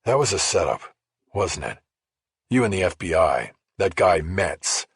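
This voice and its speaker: A man acts out a suspicious male journalist in an audiobook thriller. He is blunt and pressing with his questions, his voice very husky and recorded dry. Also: low voice, big pitch swings, a little slow, dry recording, exaggerated voice. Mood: accusing, heated.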